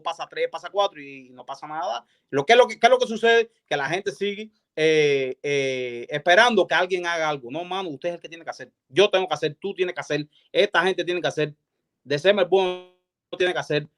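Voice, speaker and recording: A man's voice: a little high, a bit bright. A man with a Cuban accent speaking as an activist guest on a live stream, emphatic and repetitive, often checking that he is understood. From Cuba. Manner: insistent, repetitive, emphatic, peppered with check-in questions. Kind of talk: impassioned political talk on a live stream.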